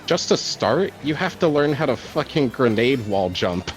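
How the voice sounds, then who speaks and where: A man streaming a kart racing game, chatting casually, rambling about game lore and swearing when excited. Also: mid-range voice, normal speed, faint music behind. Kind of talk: casual gaming chat.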